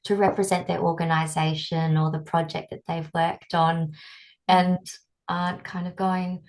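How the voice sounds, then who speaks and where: A woman's voice: fairly low pitch, level tone, normal speed, a little mellow. Curious woman hosting a webinar. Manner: engaged, curious, conversational. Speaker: webinar host. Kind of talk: casual webinar conversation.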